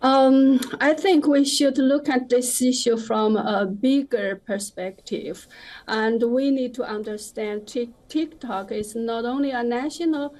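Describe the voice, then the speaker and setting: A woman giving opinionated commentary as a guest in a live news interview, with halting, hesitant phrasing. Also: fairly high pitch, darkish tone.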